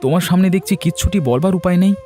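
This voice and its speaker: A man's voice: high-pitched, racing, dark tone, soft background music, close to an everyday voice. A man voicing a homesick, henpecked husband and doting father in a cartoon, mildly grumbling. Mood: exasperated. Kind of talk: Bengali cartoon story acting.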